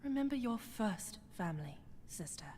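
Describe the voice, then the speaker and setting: Sneering, restless dark witch. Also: a little high, big pitch swings, normal speed, in a large hall. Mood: scornful. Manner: sneering, impatient. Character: restless, disdainful villainous dark witch, Bellatrix. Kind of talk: fantasy audio drama acting.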